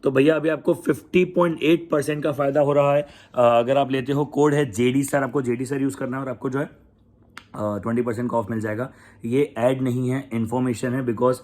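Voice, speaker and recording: A man's voice: medium pitch, fairly even intonation, fairly quick, a little mellow. A young adult Indian man with an Indian accent, a coaching teacher giving students a casual, emphatic pep talk, mixing English into Hindi and repeating his key points. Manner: mixes English into Hindi, addresses students as beta and bhaiya.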